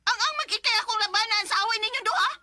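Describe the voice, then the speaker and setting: A woman's voice: very high-pitched, rapid-fire, sharp tone, full character voice. A woman playing a protective, indignant young mother in a radio drama. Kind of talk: Philippine radio drama acting.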